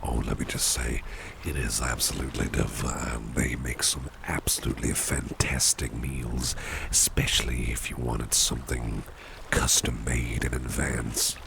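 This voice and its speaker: An extremely deep, gravelly gentleman boss, acted by a man in an ASMR roleplay. He speaks slowly and suavely, slipping into a breathy half-whisper, courteous and coaxing, with strong ambient noise behind him. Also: level tone, exaggerated voice. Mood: smooth, eager. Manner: slow, gentlemanly, reassuring, coaxing.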